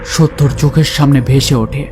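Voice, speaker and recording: A man's voice: mid-range voice, fairly even intonation, moderate pace. A man narrating a scripted ghost story in a dramatic storytelling style.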